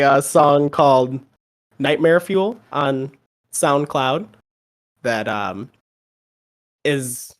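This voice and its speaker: Slightly sick man, a laughing rap guest. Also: fairly high pitch. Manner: laughs often, relaxed and self-deprecating. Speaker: rapper guest. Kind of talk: casual interview chat.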